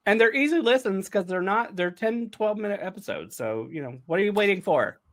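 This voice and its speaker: Man, fairly high voice, casual podcast host, informal and animated delivery. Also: brisk. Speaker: podcast host and audio drama creator. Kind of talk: casual behind-the-scenes podcast chat.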